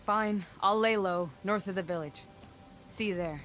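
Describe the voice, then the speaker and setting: A woman's voice: mid-range voice, moderate pace, fairly bright tone, soft background music, natural voice. An actress playing a self-assured female warrior and loyal friend in a video game. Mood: resolute, guarded. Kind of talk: video game character acting.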